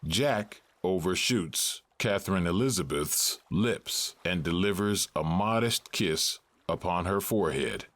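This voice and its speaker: A male narrator with a very deep voice and a slow pace. He reads scripted stage directions in a measured, matter-of-fact manner. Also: lively intonation, a bit bright.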